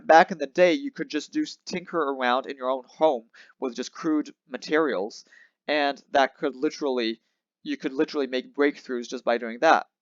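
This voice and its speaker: A man's voice: medium pitch, moderate pace, no echo. A man reacts to a debate clip, talking casually and working out his points as he speaks. He uses plenty of fillers and restarts. The sound is dry and somewhat dull. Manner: casual, thinking aloud, many fillers and restarts. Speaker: show host commenting on a clip. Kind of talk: reaction commentary.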